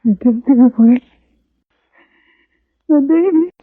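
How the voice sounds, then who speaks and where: A woman's voice: high voice, slow. A woman playing a wounded, distraught homesteader mother in a 1950s radio Western, breathless and sobbing weakly. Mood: anguished. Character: wounded, anguished homesteader mother, barely alive. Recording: muffled, thin vintage radio recording.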